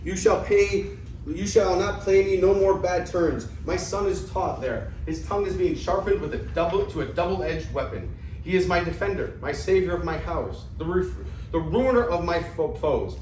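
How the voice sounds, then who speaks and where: A man in an amateur play reading, acting an excitable, scheming old debtor father with theatrical exclamations and stumbles. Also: high-pitched, moderate pace. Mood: gloating. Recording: echoey room, distant mic, faint noise.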